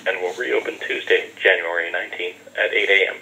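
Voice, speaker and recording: Deep, bright-voiced American man, noisy recording. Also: steady tone, fairly quick, vocal fry. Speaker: office voicemail greeting announcer. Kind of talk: recorded scripted announcement. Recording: noisy telephone voicemail playback.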